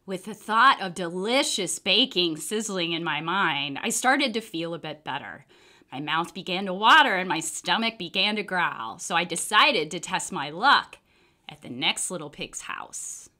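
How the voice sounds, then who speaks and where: A woman reads a children's story aloud. Her voice is bright and forceful, with wide, lively swings in pitch. The delivery is expressive and theatrical. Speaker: storybook reader. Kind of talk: dramatic children's book read-aloud.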